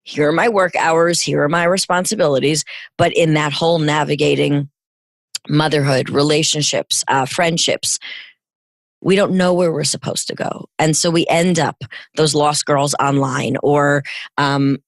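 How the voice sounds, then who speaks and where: Raspy, hoarse New York woman. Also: low-pitched, fairly even intonation, unhurried, no room sound. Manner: emphatic, expansive and outgoing. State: hoarse from illness. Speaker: podcast guest. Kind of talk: casual interview chat.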